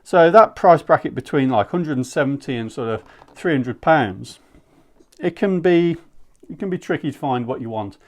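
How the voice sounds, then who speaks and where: A man's voice: a little high, animated, moderate pace. Candid man reviewing gear. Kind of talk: casual product review to camera.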